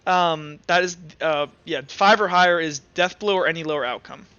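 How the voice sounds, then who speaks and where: A man with a high, bright voice acts as game master in a casual, halting style. He thinks aloud as he narrates. The audio is dry and a little dull. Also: smooth, no echo.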